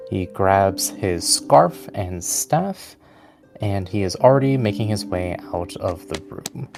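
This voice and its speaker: A man narrating a tabletop fantasy game as game master in a measured storytelling manner. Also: low-pitched, lively intonation, gravelly, music in the background.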